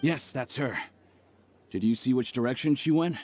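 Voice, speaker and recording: A brusque male guard from a video game, played by an actor, commanding and urgent. His voice is mid-pitched, with faint music underneath. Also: moderate pace, a little mellow, lightly acted.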